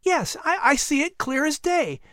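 A man's voice: very high voice, sing-song, normal speed, sharp tone, no room sound, studio quality, pitched above the performer's own voice, exaggerated voice. A man voicing a curious young boy who answers carefully and eagerly. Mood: earnest.

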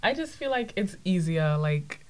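A young woman from Trinidad and Tobago, speaking in a candid, expressive way on a student podcast. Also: fairly low pitch, a little slow. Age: young adult. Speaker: podcast guest. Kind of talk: casual chat.

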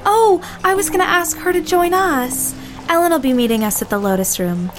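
A woman's voice: very high voice, animated, normal speed. A woman playing a coquettish young society woman in a period drama, chirpy, gushing and affected. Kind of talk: old-fashioned period drama acting. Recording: music under the voice. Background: music.

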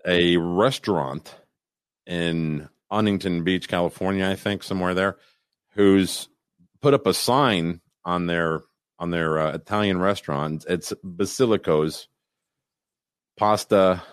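A man co-hosts in an easygoing, rambling way, often restarting his sentences. His voice sits very low, and the sound is dry and close with no room echo. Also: clean tone. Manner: rambling, many restarts and fillers. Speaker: podcast co-host. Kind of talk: casual chat.

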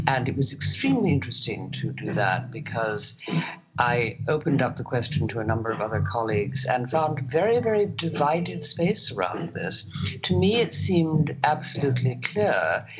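A woman speaking as a producer on a discussion panel, articulate, formal and academic in her phrasing, with a husky voice. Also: very deep voice, sing-song, moderate pace, low-quality recording. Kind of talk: thoughtful roundtable discussion.

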